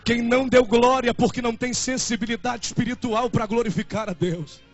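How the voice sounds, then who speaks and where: A man's voice: very high-pitched, fairly even intonation, normal speed, dull audio, faint music behind. A young man in his twenties with a Brazilian Portuguese accent, preaching a fervent and emotional sermon as a pastor. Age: 20s.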